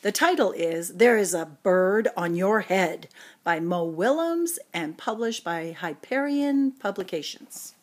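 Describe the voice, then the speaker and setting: A woman's voice: medium pitch, lively intonation, measured pace. A woman introducing a read-aloud picture book as a story time reader for children.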